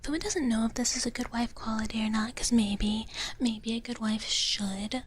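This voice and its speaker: A woman streamer speaking in a soft, breathy whisper in a playful, casual live ASMR style, close to the microphone. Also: a little high, moderate pace, slight background noise. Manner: playful, speaks of herself in third person. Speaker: streamer performing as a dragon-girl character.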